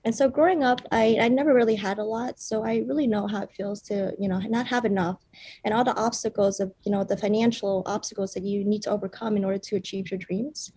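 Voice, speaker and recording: Earnest young woman founder, husky voice. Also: mid-range voice, steady tone, fast, warm tone. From Vietnam. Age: young adult. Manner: earnest, with frequent fillers like "um" and "you know". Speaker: startup founder pitching. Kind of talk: startup pitch presentation.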